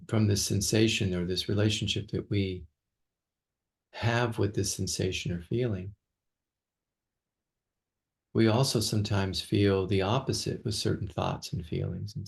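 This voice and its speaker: Slow, low-pitched man guiding meditation. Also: steady tone, gravelly. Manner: halting, with long pauses and soft repetitions. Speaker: meditation teacher. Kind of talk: guided meditation, unscripted.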